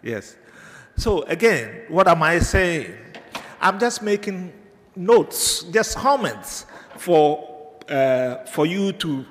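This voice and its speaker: A man from Ghana with a Ghanaian accent, giving an unscripted academic lecture to teachers, hesitant with many filler pauses and digressions. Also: on the high side, sing-song, fairly bright tone. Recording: reverberant lecture hall.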